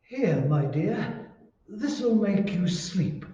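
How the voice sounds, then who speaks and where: A man playing a nervous, resentful henpecked husband in old-time radio horror style, with halting, repetitive phrasing. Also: high-pitched, very animated, dark tone, exaggerated voice. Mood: falsely soothing, nervous. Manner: halting, repeating words. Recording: muffled, echoey hall, far from mic.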